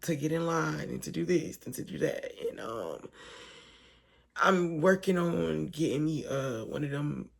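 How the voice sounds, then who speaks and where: A young woman vlogging late at night with a hoarse, fading voice, talking softly and partly in a whisper. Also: deep voice, a little slow. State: hoarse, voice almost gone. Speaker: mom vlogger. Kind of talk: casual talk to camera. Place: home at night, lying down with her baby.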